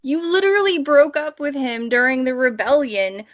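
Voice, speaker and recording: A woman's voice: sits high, animated, normal speed. A woman co-hosting a casual book discussion, conversational and playfully sarcastic. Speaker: podcast co-host.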